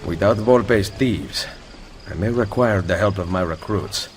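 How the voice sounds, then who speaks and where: Deep-voiced stern Italian assassin. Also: male voice, background noise. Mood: determined. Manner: measured, solemn. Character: stern, noble Italian assassin leader, composed and measured. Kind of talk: dramatic video game cutscene acting. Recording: loud music behind the voice.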